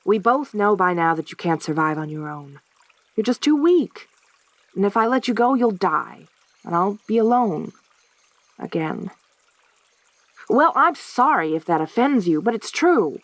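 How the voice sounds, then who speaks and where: A woman playing a lonely, possessive centaur girl in a soft-spoken audio roleplay, with a halting, stammering delivery. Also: medium pitch, animated, no room sound. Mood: desperate, forceful.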